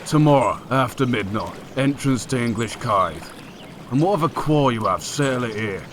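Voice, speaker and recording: A man acts out a terse riverboat captain for a comic dub. He keeps a middling pitch and a guarded, curt manner, with slight noise behind him. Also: expressive, moderate pace, a bit bright, close to an everyday voice. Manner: curt, businesslike. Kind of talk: comic dub character acting.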